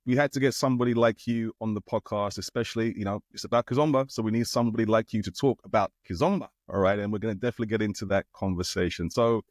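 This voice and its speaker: A man's voice: lowish voice, quick pace, few pauses, a little mellow, dry recording. Male podcast host, friendly casual questions, dry close mic. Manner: friendly, leans on "you know" fillers.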